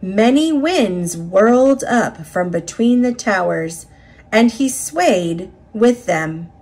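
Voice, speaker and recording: Female storybook reader, slightly husky, unhurried, with lively pitch swings and dramatic phrasing for children. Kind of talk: expressive read-aloud narration of a children's picture book.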